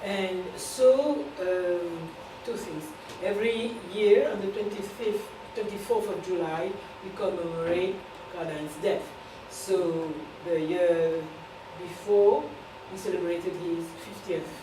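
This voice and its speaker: Lowish-voiced woman, echoey room. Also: slow, hardly any pauses, distant mic. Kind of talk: announcement and remarks to a gathering. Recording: echoey, noisy room from afar.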